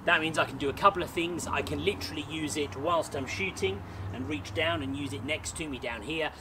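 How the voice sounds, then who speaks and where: A man's voice: on the high side, fairly quick. A man, chatting upbeat as he explains his gear step by step to camera in a small back garden. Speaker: sports photographer and vlogger. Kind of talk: casual gear walkthrough. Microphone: camera mic on a tripod, a few feet away. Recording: outdoor camera audio with noticeable background noise.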